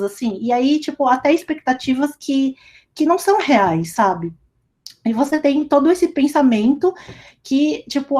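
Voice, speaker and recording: A woman's voice: a little high, a little mellow. A young woman giving a casual talk over a remote call, chatty and full of fillers as she tells a personal story. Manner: chatty, lots of fillers like "sabe" and "tipo". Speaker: speaker at a company talk. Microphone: remote call recording.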